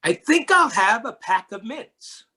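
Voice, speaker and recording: Reader acting lonely storybook man, slow, husky, formal, courteous, full of wonder. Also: mid-range voice, big pitch swings, bright, forceful tone, exaggerated voice. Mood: courteous, hopeful. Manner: formal, deliberate. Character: Mister Hatch, lonely polite man opening up in wonder. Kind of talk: readers theatre character reading.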